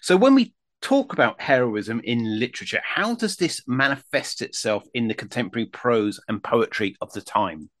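A man presents a history podcast with formal, measured delivery and a very animated pitch. His voice is recorded close and dry, with no room sound at all. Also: no room sound. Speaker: podcast host. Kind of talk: scripted intro and interview questions.

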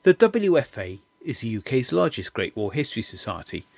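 Man, husky, animated intonation, podcast host, dry close recording. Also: mid-range voice, a little fast, dry recording. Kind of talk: scripted podcast introduction and interview.

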